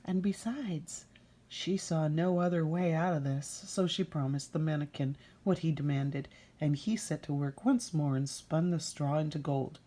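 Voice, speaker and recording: A middle-aged woman tells a fairy tale at a very slow pace, in a slightly low voice. Her read-aloud is deliberate and expressive, with a dramatic storyteller's delivery.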